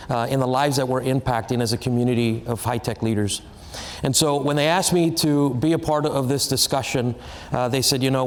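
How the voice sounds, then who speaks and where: A man moderating a panel on stage, a self-described storyteller who talks in halting restarts. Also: medium pitch. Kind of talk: speaking on stage at an event. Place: large hall with a live audience. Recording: reverberant hall, faint noise.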